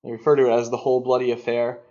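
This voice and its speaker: A man's voice: mid-range voice, level tone, rapid-fire, mellow. Analytical man podcasting, slightly dull audio. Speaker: podcast co-host. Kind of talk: conversational film discussion.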